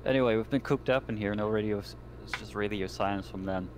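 Lowish-voiced man playing a tabletop game. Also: fast. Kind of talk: casual in-character roleplay chat. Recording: noisy home voice-chat microphone.

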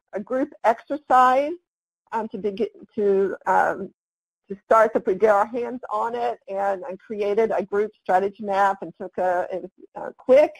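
A woman presenting an online webinar, talking through slides and often pausing with "um". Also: mid-range voice, no echo, rough recording. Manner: halting, with frequent "um" fillers.

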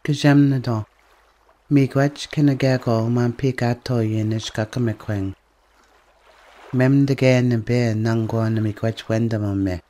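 A man's voice: mid-range voice, fairly even intonation, a little slow, warm tone. A man speaking a solemn prayer as a reader in a service. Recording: faint water noise behind.

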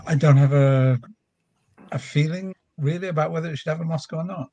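A middle-aged man with a husky voice, discussing casually as a panellist on a video call. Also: fairly high pitch, a little fast, a little mellow. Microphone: video call microphone.

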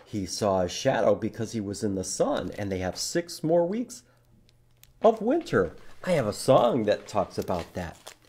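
A man in his fifties hosts storytime for young children. He takes his time, speaking slowly and emphatically, with pitch that rises and falls in a very lively way. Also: breathy edge. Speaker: library storytime host. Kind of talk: children's read-aloud and teaching. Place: indoor public library room.

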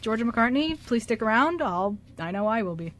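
High-pitched young woman chatting casually. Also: sing-song, a little fast, a little hiss or hum. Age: young adult. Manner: effusive, repeats herself. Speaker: student radio show writer and actor. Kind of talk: casual group chat.

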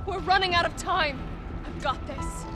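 An actress playing a tough, blunt action heroine in a video game, urgent and defiant. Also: female voice, very high-pitched, normal speed. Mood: urgent, alarmed. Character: tough, defiant, foul-mouthed young female action heroine. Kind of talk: video game cutscene acting. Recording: very loud music behind the voice.

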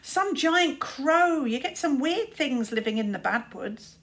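A woman's voice: high voice, animated, unhurried, a bit bright, pitched above the performer's own voice, full character voice. Woman doing a sneering, superior boy wizard. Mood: scoffing. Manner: sneering, drawling emphasis. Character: scornful, superior older-brother boy wizard.

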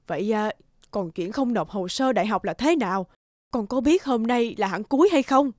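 A woman's voice: a little high, lively intonation, moderate pace, a little mellow, no echo, clean studio sound, lightly acted. A woman voicing a fretful middle-aged mother in a cartoon, exclamatory and probing, with a Northern Vietnamese accent. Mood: scolding, worried. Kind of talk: animated cartoon dialogue. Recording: music behind the dialogue.

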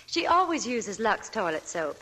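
Animated woman in a 1940s radio ad. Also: medium pitch, normal speed, low-quality recording. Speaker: Hollywood reporter in a soap advertisement.

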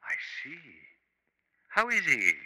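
A man's voice: fairly high pitch, wildly swooping pitch, slow, bright, forceful tone, no room sound, heavily acted, low-quality recording. A man acting a polite, evasive professor accused of murder, hesitant and genteel, in 1950s radio drama style. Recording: vintage 1950s radio recording.

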